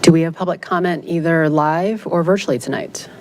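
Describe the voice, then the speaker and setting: A woman presides over a council meeting, calling agenda items in a brisk, formal way. Her voice sits a bit low. A clear rumble runs behind her. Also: steady tone. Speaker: mayor chairing the meeting. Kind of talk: chairing a meeting.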